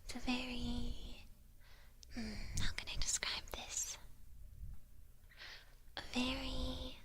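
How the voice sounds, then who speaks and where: A woman playing a teasing witch who mentors an apprentice in a whispered ASMR roleplay, halting and gently patronizing. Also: high voice, monotone, slight background noise. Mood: coaxing, secretive. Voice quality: breathy whisper.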